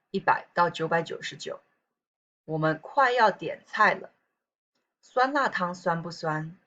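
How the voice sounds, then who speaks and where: Low husky woman teacher with a southern Taiwanese accent. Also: a bit bright. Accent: southern Taiwanese Mandarin. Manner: reads sentences slowly and evenly, casual asides to students. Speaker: Chinese language teacher. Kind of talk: recorded pronunciation lesson. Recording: dull lo-fi recording.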